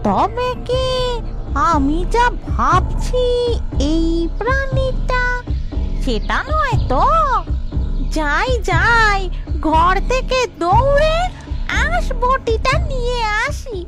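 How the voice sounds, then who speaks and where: A woman's voice: extremely high pitch, sing-song, full character voice. A woman voicing a greedy, grumbling old fisher witch in a children's fairy-tale cartoon. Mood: amazed, scheming. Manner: muttering, grumbling, drawn-out gloating. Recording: loud music behind, children's cartoon score.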